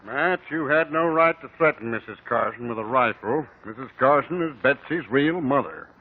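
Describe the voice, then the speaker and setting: A man's voice: mid-range voice, animated, soft background music, natural voice. A man playing a firm, formal small-town sheriff with an American accent in a vintage radio drama. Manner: formal, measured, authoritative. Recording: dull, faintly noisy 1950 radio recording.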